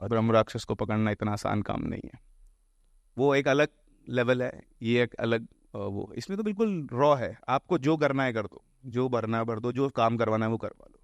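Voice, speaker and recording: A man's voice: mid-range voice, moderate pace, dry recording. Man, casual horror storyteller, explanatory, English words mixed in, close dry recording. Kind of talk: casual spoken storytelling of ghost tales.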